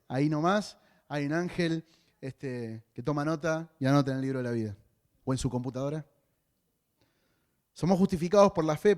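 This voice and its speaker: A man's voice: on the high side, a little mellow. A man with a Rioplatense Spanish accent preaching a sermon as a pastor, in a conversational way with joking asides.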